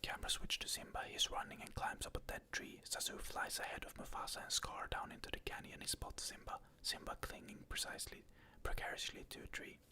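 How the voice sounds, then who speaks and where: Whispering ASMR narrator. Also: fairly quick. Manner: soft unvoiced whisper, describing scenes. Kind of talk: whispered ASMR film retelling.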